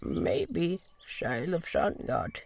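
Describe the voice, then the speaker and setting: A man voices a big, gentle, wrinkly male creature in a fantasy audio drama. His speech is halting and simple, in broken grammar, very slow and creaky, with big swoops in pitch. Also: very animated, heavily acted. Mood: slow, caring.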